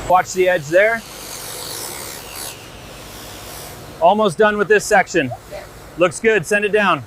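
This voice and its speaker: A man playing a steady livestock and factory worker, giving instructions in scripted workplace dialogue. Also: sits high, normal speed, a little hiss or hum. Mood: focused.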